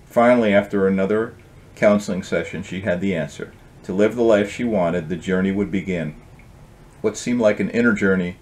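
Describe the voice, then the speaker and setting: Low-voiced middle-aged man reading his book. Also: a little mellow. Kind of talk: storybook reading with casual introduction. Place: indoor home office.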